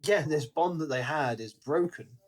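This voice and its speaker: A man co-hosting a podcast in a casual book discussion, retelling things in an animated way and slipping into acted-out quotes. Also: a little high, moderate pace. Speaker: podcast co-host.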